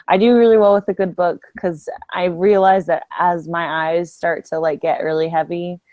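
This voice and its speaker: A woman's voice: fairly low pitch, fairly even intonation, moderate pace, darkish tone, no room sound. A woman talking casually as a panelist on a podcast. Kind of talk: casual chat.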